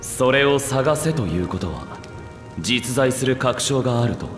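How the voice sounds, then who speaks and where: A man playing a scholarly young prince in a video game, speaking in a formal, measured and articulate way. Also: mid-range voice, lively intonation, close to an everyday voice. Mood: polite, inquiring. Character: composed, scholarly young prince, politely cutting. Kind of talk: Japanese video game voice acting. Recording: echoey hall reverb, background music.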